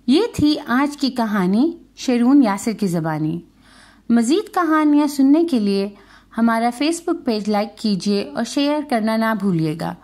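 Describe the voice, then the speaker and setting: Female children's storyteller, very high voice, reading a scripted folk tale. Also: lively intonation, a little mellow.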